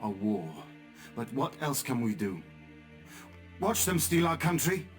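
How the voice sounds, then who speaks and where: An earnest young resistance leader. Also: male voice, mid-range voice, sing-song, a little slow, faint music behind. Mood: resolute. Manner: earnest, pleading, resolute. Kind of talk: game cutscene dialogue.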